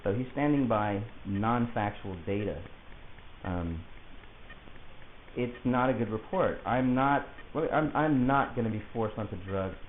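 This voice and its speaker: A man's voice: medium pitch, a little slow. A man speaking as a client to his lawyer, arguing his points insistently and in articulate detail. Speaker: client of a public defender. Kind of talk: argumentative conversation. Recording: hissy, muffled phone-like audio, echoey room.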